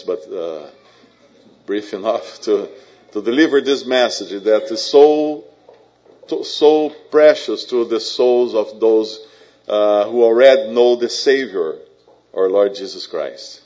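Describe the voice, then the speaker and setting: A Brazilian-accented man delivers a sermon in English, his second language, speaking slowly and deliberately. He often stops to restart and repeat his words, and he mixes in light jokes. The recording sounds dull and muffled. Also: lowish voice, darkish tone. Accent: Brazilian Portuguese. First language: Portuguese. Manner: halting, repeats words, joking asides. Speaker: preacher.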